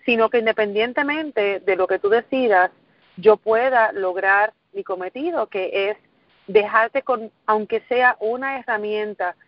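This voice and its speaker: A woman's voice: mid-range voice, a little slow, no room sound, muffled recording. A middle-aged woman from Puerto Rico with a Puerto Rican accent, giving an earnest motivational talk and repeating phrases for emphasis. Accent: Puerto Rican Spanish. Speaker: motivational speaker. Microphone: recorded call or conference line.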